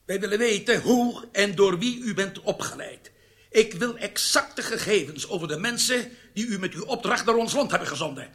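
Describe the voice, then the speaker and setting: An actor playing a menacing Egyptian intelligence interrogator in a radio drama, commanding and deliberate. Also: male voice, sits high, a bit bright. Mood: stern, demanding.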